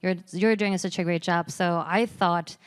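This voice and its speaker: A woman in her forties speaks English with a Korean accent, her voice smooth and clear. She talks casually on a conference panel, with loose phrasing, many "you know"s and a self-deprecating touch. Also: lowish voice, steady tone, unhurried. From South Korea. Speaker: game company executive. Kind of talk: panel discussion.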